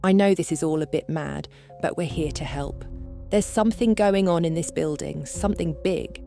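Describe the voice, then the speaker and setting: A man doing a young woman, soft and earnest. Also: on the high side, normal speed, gravelly, faint music behind, pitched above the performer's own voice. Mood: reassuring. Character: young blonde woman companion, bright and reassuring.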